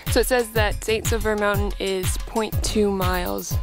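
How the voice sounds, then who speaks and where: A young woman talks in a casual, offhand way while out on a hike, recorded on a handheld camera. Her voice sits at a middling pitch and she drops practical tips as she goes. Faint music plays underneath. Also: fairly even intonation, measured pace. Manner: chatty, offhand, giving trail tips. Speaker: newspaper reporter. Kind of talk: casual hiking vlog. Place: outdoors on a forest trail, some lines in a car.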